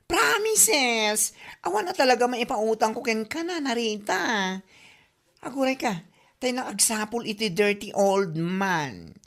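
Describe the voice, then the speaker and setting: A woman's voice: on the high side, lively intonation, a little slow, a bit bright. A woman playing a sassy, teasing younger neighbour in an Ilocano comedy drama, joking cheekily with an Ilocano accent.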